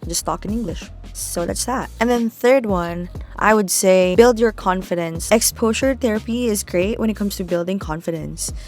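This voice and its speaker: A woman's voice: mid-range voice, moderate pace, hardly any pauses, darkish tone, soft background music. A young woman from the Philippines with a Filipino accent, a native Tagalog speaker, chatting candidly to camera as a vlogger. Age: young adult. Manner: chatty, candid, sprinkled with likes and asides. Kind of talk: casual talk to camera.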